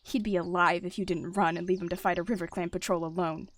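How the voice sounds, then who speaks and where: A woman's voice: fairly low pitch, steady tone, quick pace, close to an everyday voice. A woman playing a large, bitter male warrior cat deputy in a roleplay, muttering and snarling his words. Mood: angry, bitter. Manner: growling, snarling, muttering delivery.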